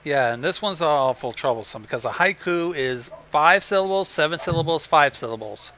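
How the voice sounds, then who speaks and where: Very bright, sardonic male commentator. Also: on the high side, expressive, moderate pace, highs cut off. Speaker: guest commentator. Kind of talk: casual riffing commentary.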